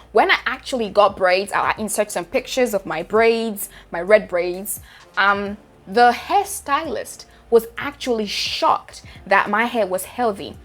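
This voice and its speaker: Young nigerian woman talking straight to her viewers about hair, chatty and direct, calls them sis and guys. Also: medium pitch. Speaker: hair care vlogger. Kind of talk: casual advice vlog.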